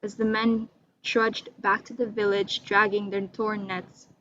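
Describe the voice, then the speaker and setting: A woman's voice: mid-range voice, level tone, measured pace. A woman storyteller giving a scripted, dramatic narration of a folktale. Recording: dull lo-fi recording with loud mains hum.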